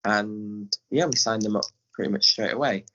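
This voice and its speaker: A man's voice: fairly low pitch, normal speed, sharp tone, lo-fi sound, slight background noise. A man with an English accent chatting casually as an interview guest, explaining his work as a theatre director. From England. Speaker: guest, pantomime director and scriptwriter.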